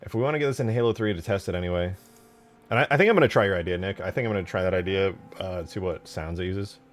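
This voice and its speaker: A man giving casual, informal live commentary as he works on game mods, muttering his thoughts aloud with the odd swear. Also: low-pitched. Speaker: game modder, streamer.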